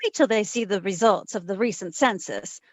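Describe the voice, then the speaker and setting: A woman's voice: medium pitch, moderate pace, no echo, close to an everyday voice. A woman playing a wisecracking, cool-headed female private investigator in an old-time radio detective drama. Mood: breezy, friendly. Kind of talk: 1940s radio detective acting and narration.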